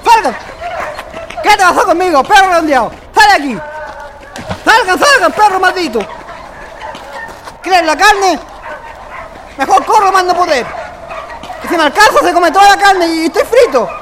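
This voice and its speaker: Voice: very high voice, animated, moderate pace, long pauses, background noise. A performer with a Chilean accent playing a weak, clumsy, anxious boy in a radio play, exclaiming and scolding in colloquial speech. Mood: angry, frightened. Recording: loud music behind the voice.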